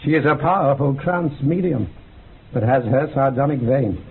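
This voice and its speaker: A man's voice: medium pitch, expressive, normal speed, gravelly. An actor playing a genial, grandiose doctor in his eighties in a radio play, formal and courteous. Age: 80s as played. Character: genial, grandiose elderly doctor leading psychical researchers. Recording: muffled, band-limited, faintly noisy radio recording.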